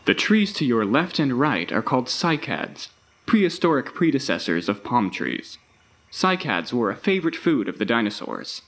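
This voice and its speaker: A man's voice: medium pitch, big pitch swings, normal speed, fairly bright tone. A man playing the recorded guide of a dinosaur park tour, giving scripted narration in a grand, instructive, theatrical announcer style. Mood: grand, informative.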